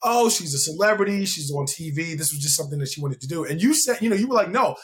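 A man's voice: fairly high pitch, expressive, fast talker, fairly bright tone. An American man hosting an interview in a casual, conversational way, informal and encouraging toward the guest. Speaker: interview show host.

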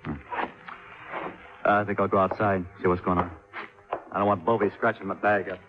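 A man's voice: deep voice, level tone, brisk, over loud music, lightly acted. A man playing a shifty, cocky fugitive in a 1950s radio drama, with a casual, curt, slangy way of talking. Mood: guarded, casual. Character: shifty, cocky male fugitive posing as traveler. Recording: muffled, very noisy old radio recording.

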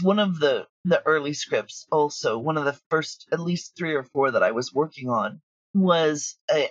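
Husky-voiced woman in casual interview. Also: deep voice, no echo, highs cut off. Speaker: audio drama creator and writer.

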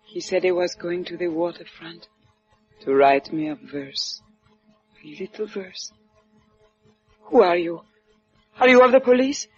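An actress playing a proud, sorrowful Cuban wife, formal and melodramatic in a 1950s radio drama. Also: female voice, fairly low pitch, unhurried, long pauses, natural voice. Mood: anguished. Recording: muffled old radio recording, music.

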